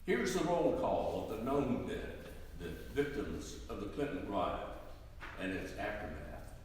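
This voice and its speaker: A man's voice: on the low side, big pitch swings, unhurried, a little mellow. A man narrating a staged dramatic reading in a solemn, oratorical way. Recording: very echoey hall, distant mic, faint noise.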